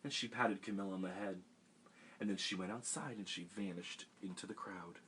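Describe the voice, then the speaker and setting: A man reading a picture book aloud for a children's storytime, animated and expressive. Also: deep voice, fairly quick, slight background noise, poor audio.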